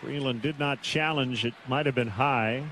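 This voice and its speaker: A man's voice: medium pitch, normal speed, a bit bright, some chatter behind, poor audio. A man doing live baseball play-by-play as a radio announcer from a stadium broadcast booth. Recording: clear noise behind the voice. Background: outdoor ambient stadium noise.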